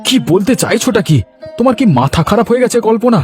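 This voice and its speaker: A man's voice: sits high, lively intonation, fast talker, a little mellow, soft background music. A man acting a kind, upright village uncle in a cartoon. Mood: indignant. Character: kind, morally upright village uncle, exasperated with greedy wife.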